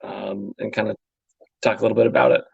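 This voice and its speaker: A man hosts a podcast with an easygoing manner, asking open questions and laughing along. His voice is fairly low and gravelly with plenty of vocal fry. The sound is dry and muffled, like a lo-fi home recording. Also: fairly even intonation, fast, dry recording. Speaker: podcast host. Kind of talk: casual interview.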